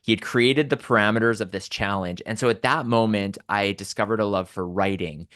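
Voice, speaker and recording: A man's voice: lowish voice, a bit bright, no room sound, studio quality. A man from Southern Alberta with a Canadian accent, telling personal stories conversationally and articulately as a podcast guest.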